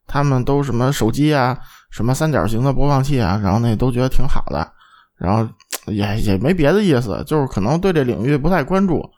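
Guy hosting a chatty podcast, jokey, super colloquial, middle pitch, keeps asking right?. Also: expressive, a little fast, darkish tone. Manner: colloquial, jokey, often tags sentences with a checking question. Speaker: podcast host. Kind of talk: casual panel chat.

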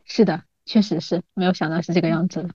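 A woman's voice: fairly low pitch, steady tone, fast talker, dark tone, breathy edge, dry recording, dull audio. A woman in her thirties chatting casually as a guest, joking in a playful, mock-dramatic way. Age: 30s. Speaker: podcast guest. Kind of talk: casual chat between old friends.

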